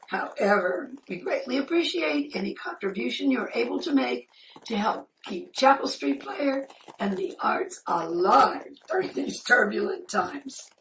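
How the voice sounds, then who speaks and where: A woman's voice: fairly high pitch, fairly bright tone. A woman giving a scripted thank-you and appeal to viewers as a theater spokesperson, recorded in a living room. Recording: roomy, distant mic, faint noise.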